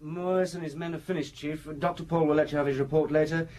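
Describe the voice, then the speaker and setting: A male actor plays a brisk junior detective in an audio drama. He talks fast in a fairly high voice, deferential and to the point. There is clear noise in the background. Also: steady tone, darkish tone, lightly acted. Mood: businesslike.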